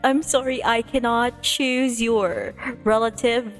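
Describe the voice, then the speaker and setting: Slow-paced, high-voiced woman livestreamer, playful. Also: faint music behind. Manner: playful, giggly, expressive. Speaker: streamer. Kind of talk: livestream chat and visual novel read-aloud.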